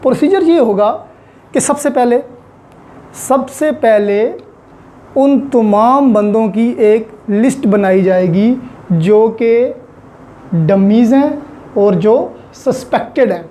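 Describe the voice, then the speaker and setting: A woman's voice: on the high side, takes it slowly, long pauses, mellow. A woman with a Pakistani accent gives an explanatory lecture, repeating key points in a didactic way and mixing in English terms. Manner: mixes English terms and phrases into speech. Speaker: law lecturer. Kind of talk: explanatory lecture with worked examples.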